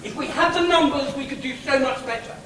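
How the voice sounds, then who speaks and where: An older man speaks loudly from the audience of a large hall as a local party chairman. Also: extremely high pitch, brisk, heavy background noise. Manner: loud, declaiming voice.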